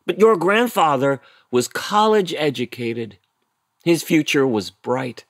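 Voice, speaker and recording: Stern middle-aged dad, indignant and blunt, swooping emphatic delivery, close dry sound. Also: male voice, fairly high pitch, lively intonation, slow, fairly bright tone, no room sound, heavily acted. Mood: indignant, bitter. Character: stern, indignant immigrant-family father, engineer. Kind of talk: audio play acting.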